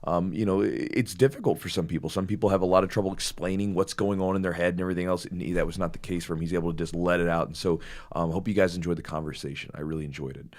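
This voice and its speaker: Slightly hoarse male podcast host, very deep voice, loose rambling delivery. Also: very fast. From Louisville, Kentucky. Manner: rambling, animated storytelling with many fillers. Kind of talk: casual podcast chat.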